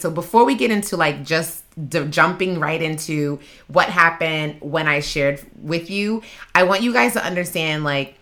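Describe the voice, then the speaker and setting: Podcast host, woman, middle-aged, lower register, informal and chatty delivery. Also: sharp tone. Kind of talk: casual podcast chat. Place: home office with windows open.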